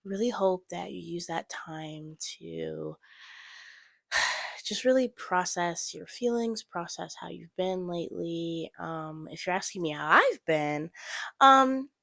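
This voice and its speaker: A woman's voice: on the low side, takes it slowly, vocal fry, pushed and bright, no echo, studio quality. A teenage girl hosting her own podcast, talking in an earnest, encouraging, rambling way with a slightly stuffy nose and a bit of a sore throat. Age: teens. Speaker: podcast host. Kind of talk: solo casual podcast talk.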